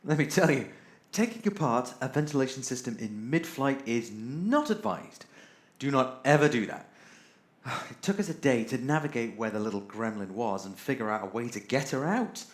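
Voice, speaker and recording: A man's voice: mid-range voice, lively intonation, normal speed, close to an everyday voice. A man playing a cheeky, exasperated spaceship crewman in an audio drama, wry and emphatic. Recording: echoey room.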